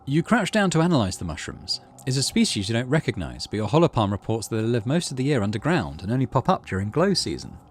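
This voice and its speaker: A man's voice: mid-range voice, big pitch swings, quick pace, hardly any pauses, a little hiss or hum. A man hosting a gaming video, reading story text aloud and adding casual commentary.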